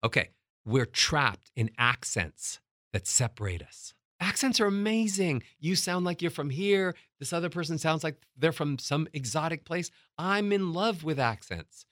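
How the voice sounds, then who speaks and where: A middle-aged man teaching as a voice coach, emphatic and demonstrating how to change loudness and pitch. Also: expressive, unhurried, dry recording, clean studio sound. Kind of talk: motivational teaching talk.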